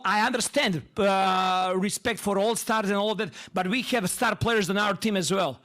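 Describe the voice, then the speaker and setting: A man with a Serbian accent, a basketball head coach venting angrily at a press conference with halting restarts. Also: high-pitched, fairly bright tone. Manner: angry, indignant, halting restarts. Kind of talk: postgame press conference rant.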